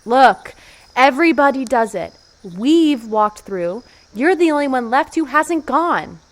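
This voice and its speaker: A woman's voice: sits high, unhurried. A woman playing a bossy, mischievous teenage girl in a horror audio play. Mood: teasing, bossy. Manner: bossy, teasing, animated.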